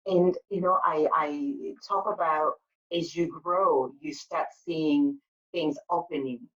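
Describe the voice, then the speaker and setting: A woman's voice: sits low, fairly even intonation, slow pace, far from the mic, muffled recording. A woman from Zimbabwe, a spa owner, answering interview questions in an earnest storytelling way with frequent fillers. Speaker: guest, spa owner and sugar paste maker.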